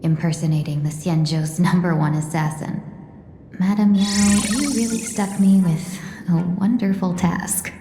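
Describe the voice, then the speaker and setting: A woman voicing a composed, sly fox-woman diplomat for a video game, speaking in a measured, polite and coolly self-possessed way. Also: lowish voice, steady tone, warm tone, close to an everyday voice. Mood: weary. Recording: roomy echo under clear background music.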